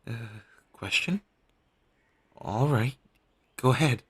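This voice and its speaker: A man playing a devoted, apologetic talent agent in an audio roleplay, earnest and hesitant, with a husky, gravelly voice on a low-quality amateur recording. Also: slow. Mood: defensive, apologetic. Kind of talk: scripted audio roleplay, intimate one-sided dialogue.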